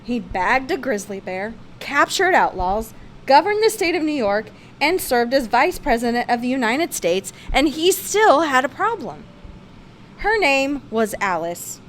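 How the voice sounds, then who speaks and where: Female storybook reader, higher-pitched and expressive, with light background noise. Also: a little slow. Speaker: storyteller reading a picture book aloud. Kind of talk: read-aloud children's storybook narration.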